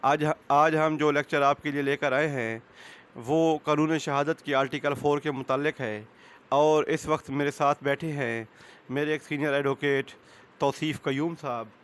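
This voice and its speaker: A man's voice: on the high side, steady tone, a little slow, fairly bright tone, clear, resonant tone. A man with a Pakistani accent giving an explanatory lecture as a lawyer, mixing in English legal terms. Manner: switches between Urdu and English legal terms.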